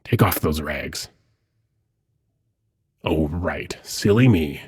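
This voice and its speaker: The deep, very husky murmur one would hear late at night in a fantasy ASMR roleplay: a silky demon prince, acted by a man, teasing his captive with soft menace. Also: animated, very slow, full character voice. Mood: playful, coaxing. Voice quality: husky, breathy, often near whisper. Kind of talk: intimate ASMR roleplay monologue.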